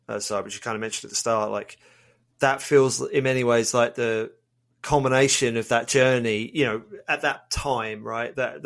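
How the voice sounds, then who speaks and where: A man's voice: mid-range voice, creaky, a bit bright. A man hosting a podcast, asking long hesitant rambling questions in casual interview talk. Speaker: podcast host.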